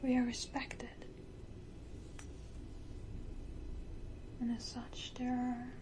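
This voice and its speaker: A woman voicing a proud, condescending vampire matriarch in a breathy, deliberate ASMR whisper, with theatrical pauses and close to the microphone. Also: on the high side, completely flat delivery, noisy background, close to an everyday voice. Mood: pitying, proud. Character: powerful female vampire matriarch, menacingly tender, proud, condescending.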